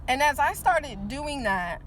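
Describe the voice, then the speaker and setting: A woman's voice: sits high, expressive, slow pace, a bit bright, a little hiss or hum, low-quality recording. A woman in her forties talking to the viewer in a casual motivational monologue, often checking in with "right?" and repeating words for emphasis. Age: 40s. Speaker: teacher and motivational speaker.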